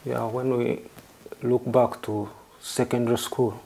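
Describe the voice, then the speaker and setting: A Ugandan-accented man gives personal interview answers in a mellow, mid-pitched voice. He phrases things haltingly and somewhat formally, with some room noise behind him. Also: level tone, creaky, rough recording. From southern Uganda. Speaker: interview guest.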